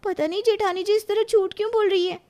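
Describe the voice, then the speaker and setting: A woman's voice: very high voice, steady tone, quick pace, a little mellow. A woman voicing an assertive, indignant younger daughter-in-law in a moral cartoon story. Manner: assertive, accusing. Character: sharp, indignant younger daughter-in-law who fights back.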